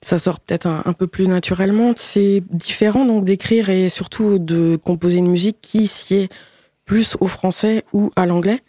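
Radio host guy, higher voice, asks questions kinda carefully, dry studio. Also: fairly even intonation, warm tone, no room sound. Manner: measured questions, occasional hesitations. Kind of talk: radio interview.

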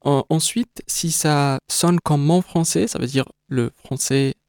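A man's voice: on the high side, slow pace, a little mellow, clear, resonant tone, no echo, studio quality. A man whose first language is Kabyle, an exhibition creator giving a radio interview, speaking hesitantly with many fillers and restarts. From North Africa (Kabyle).

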